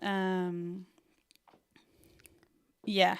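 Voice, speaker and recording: A woman's voice: on the low side, level tone, drawn-out words, clean tone. A young woman from Brazil with a Brazilian accent mixed with a Northern Irish one, giving a chatty livestream design tutorial and muttering to herself as she works. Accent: Brazilian with a Northern Irish mix. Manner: chatty, thinks aloud while working, mutters to herself.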